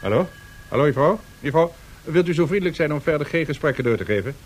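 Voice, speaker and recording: A man's voice: fairly high pitch, lively intonation, quick pace. An actor playing an anxious, urgent and earnest male business associate in a 1970s radio drama. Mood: harried. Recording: vintage radio recording, faint noise.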